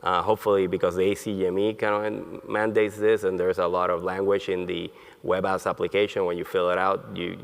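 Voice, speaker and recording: A man born in Colombia and raised in Puerto Rico, giving a conference lecture in a halting way with many fillers and restarts. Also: low-pitched. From born in Colombia, grew up in Puerto Rico. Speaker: neurologist, conference speaker. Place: conference hall. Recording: long hall reverb.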